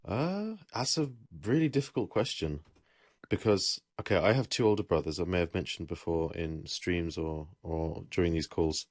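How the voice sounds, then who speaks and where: A man's voice: low voice, normal speed, no echo, studio quality. A young man from England chatting casually, rambling and correcting himself as he tells stories. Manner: relaxed, rambling, with self-corrections. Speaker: podcast host. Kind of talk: casual chat.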